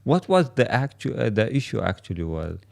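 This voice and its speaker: A man's voice: on the low side, big pitch swings, fairly quick, vocal fry, darkish tone. A man interviewing someone conversationally, putting in brief acknowledgements and rewording his questions as he goes.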